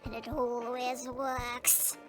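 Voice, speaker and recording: A woman playing a sly, theatrical goblin witch in a fantasy audio roleplay, with coy, drawn-out delivery. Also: on the high side, little pitch movement, soft background music. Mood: rueful, grumbling. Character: sly, theatrical female goblin witch, weepy when slighted.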